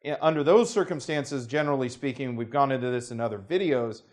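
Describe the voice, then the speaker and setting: An American man talking through a legal process as an attorney. He speaks in a formal, measured way, with lawyerly phrasing and frequent qualifiers. His voice sits at an ordinary middle pitch. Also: darkish tone. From United States. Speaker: attorney presenting a legal explainer. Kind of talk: unscripted talking-head explanation.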